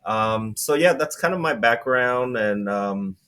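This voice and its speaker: A man in his forties from Vietnam, a guest entrepreneur talking casually in an interview, relaxed and rambling with many fillers. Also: mid-range voice, unhurried, smooth.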